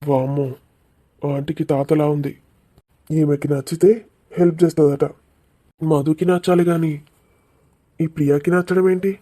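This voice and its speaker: A man's voice: fairly high pitch, steady tone, a little slow, long pauses, warm tone. A man voicing an earnest, nervous young man in love in a cartoon love story.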